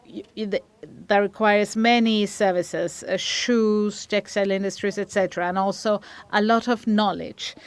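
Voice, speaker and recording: A woman giving live interpretation of a conference panel, halting now and then with restarts as she keeps up with the speakers. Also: mid-range voice, smooth. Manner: halting, with frequent restarts while keeping up. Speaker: simultaneous interpreter.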